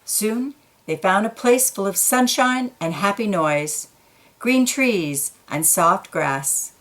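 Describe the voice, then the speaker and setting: A woman reading a children's picture book aloud, pausing for quiet asides about the pictures. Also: medium pitch, lively intonation. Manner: slow, gentle, pointing out pictures in quiet asides.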